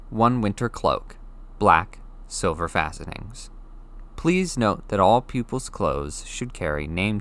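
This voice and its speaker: A man's voice: fairly low pitch, fairly bright tone. A man reading a children's novel aloud as scripted audiobook narration.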